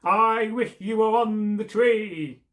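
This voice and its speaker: A man's voice: high-pitched, takes it slowly, fairly bright tone. A man reading aloud, voicing a false knight who questions and threatens a boy on the road. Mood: menacing.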